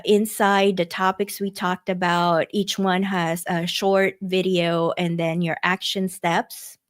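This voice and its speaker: A middle-aged woman from the Philippines hosting an online workshop, chatty, with many fillers and restarts, speaking into a computer mic. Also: mid-range voice. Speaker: workshop host. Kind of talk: casual online workshop presentation.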